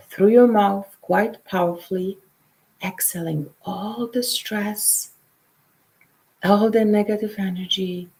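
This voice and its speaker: A middle-aged woman from Poland with a Polish accent, a counselor hosting a show and leading a guided meditation before telling her own story, speaking softly and sometimes dropping to a whisper. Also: medium pitch, vocal fry, poor audio. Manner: soft, unhurried, drops to a whisper at times. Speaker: intuitive counselor and show host.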